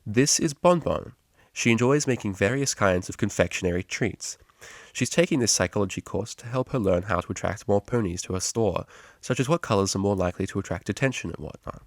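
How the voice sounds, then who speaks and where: A man's voice: on the low side, expressive, fairly quick, dry recording, clean studio sound. A man voicing a refined, snobbish female pony cellist who speaks formally and precisely in a storybook. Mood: prim.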